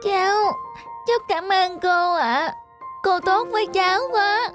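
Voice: very high-pitched, a little slow, fairly bright tone, faint music behind. A performer voicing a timid, polite, hungry poor boy in a cartoon. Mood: grateful. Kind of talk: cartoon voice acting.

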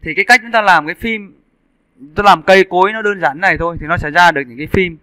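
Guy doing a casual software tutorial, bright crisp voice, step by step, dry sound. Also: high voice, quick pace, dry recording. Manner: explains step by step, often checking with listeners. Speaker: 3D animation instructor. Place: indoors, screen-recording voice-over.

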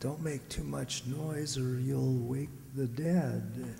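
A middle-aged man playing a genial, mock-spooky horror host in an old-time radio show, teasing and deliberate. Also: mid-range voice, steady tone, creaky, warm tone. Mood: hushed, ominous. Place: on stage in a community hall. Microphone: stand microphone. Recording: echoey hall stage, music behind.

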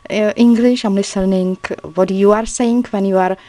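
A woman from the Czech Republic with a Czech accent, chatting casually and a little haltingly in learner's English while showing her handmade journals, laughing often and poking fun at herself. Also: medium pitch, steady tone, warm tone, no echo. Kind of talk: casual crafting video chat. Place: indoors at a craft table, filmed from above.

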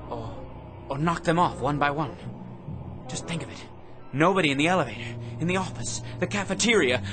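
An actor playing an obsessive office clerk who mutters to himself over a book and builds to manic fervor. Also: male voice, fairly high pitch, natural voice. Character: obsessive middle-aged male office clerk. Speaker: lead actor in radio drama. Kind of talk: radio drama acting. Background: music.

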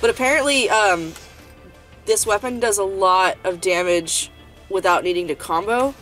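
A woman's voice: medium pitch, normal speed, a bit bright. A young woman chats loosely as she plays a game at home, her voice stuffy and congested from a lingering cold. She rambles, restarts and fills gaps with ums, with faint game music under her. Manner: rambling, many fillers and restarts. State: congested, sniffling. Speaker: gaming channel host. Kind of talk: casual let's-play chat. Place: home room, indoors.

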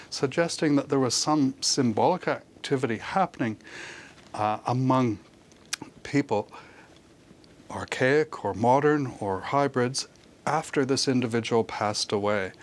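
Older male academic explaining in interview. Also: medium pitch. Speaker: professor, expert guest. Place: television studio, remote link.

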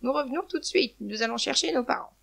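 Woman playing a storybook pigeon, polite, earnest, admiring, fairly high, gravelly. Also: fast, fairly bright tone, full character voice. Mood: eager, welcoming. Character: eager, polite, apologetic flock of pigeons. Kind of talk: children's storybook character reading.

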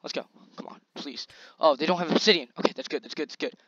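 A young man hosting his own gaming channel, commentating gameplay in a chatty, slangy, excitable way, his voice a little scratchy. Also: a little high, fast talker, no echo, dull audio. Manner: excitable, chatty, slangy hype while playing. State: slightly scratchy voice.

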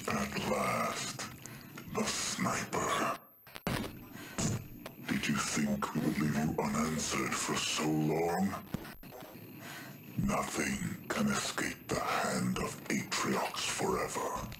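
Very deep, menacing alien warlord. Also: male voice, fairly even intonation, reverberant, heavy background noise. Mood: gloating menace. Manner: slow, ominous, theatrical.

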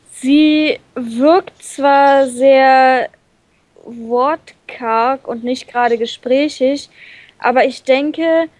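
A woman's voice: high voice, dry recording. A woman chatting casually and reflectively about a game, somewhat hesitant. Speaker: let's play co-reader.

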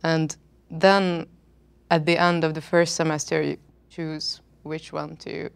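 A young woman from Hungary, a student on a panel, soft-spoken and hesitant, searching for words on a lapel mic. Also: deep voice, level tone, takes it slowly, gravelly, clean tone, dry recording. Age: young adult. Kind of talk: casual panel chat.

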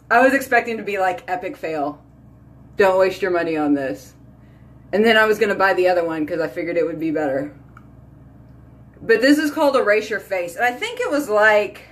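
A woman, chatting casually to a phone camera at home as a beauty vlogger, talking in a lively, filler-heavy way. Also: medium pitch. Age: 30s. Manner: chatty, animated, lots of "like" and "y'all". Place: small bedroom or bathroom at home. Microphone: phone camera at arm's length.

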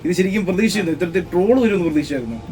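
A man's voice: high voice, lively intonation, rapid-fire, a little mellow, distant mic, soft background music, low-quality recording. A man hosting a casual interview. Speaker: interviewer, host.

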